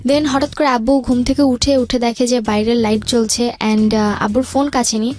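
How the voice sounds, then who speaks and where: A woman's voice: fairly high pitch, steady tone, normal speed, darkish tone. A young woman with a Bangladeshi accent telling her life story in an interview, hesitantly, mixing in English words. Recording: music bed behind the voice. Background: music.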